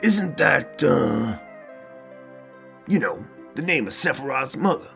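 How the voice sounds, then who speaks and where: An actor playing a brash, hot-tempered big rebel in a game parody, excitable and blunt. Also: male voice, mid-range voice, slow, creaky, soft background music, heavily acted. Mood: agitated.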